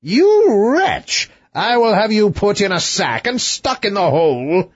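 A man voicing a hot-tempered old king who blusters and shouts, on a dull lo-fi recording. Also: sits high, very animated, slow pace, slightly rough, no room sound, pitched above the performer's own voice, full character voice. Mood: blustering.

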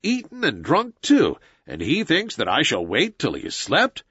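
A man's voice: fairly high pitch, wildly swooping pitch, moderate pace, fairly bright tone, slightly rough, no room sound, dull audio, exaggerated voice. A man reading aloud and voicing an eager jungle boy who gives urgent, commanding orders in old-fashioned speech. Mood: scheming.

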